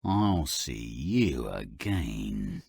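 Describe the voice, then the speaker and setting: A man playing a sly, gluttonous big bad wolf with a rasping, drawn-out, theatrical delivery in a fairy-tale game. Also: very deep voice, sing-song, darkish tone, no echo, studio quality, heavily acted. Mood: sly, hungry. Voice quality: gravelly, rasping.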